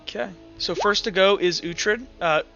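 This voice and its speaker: High-pitched, bright-voiced male game master. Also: normal speed, gravelly, highs cut off, soft background music. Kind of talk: running a tabletop roleplaying game, casual improvised narration and rules talk.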